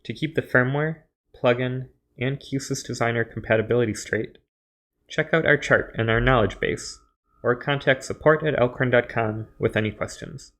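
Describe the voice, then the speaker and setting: A man presenting a scripted instructional tutorial, explaining step by step in a measured way. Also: medium pitch, fairly bright tone.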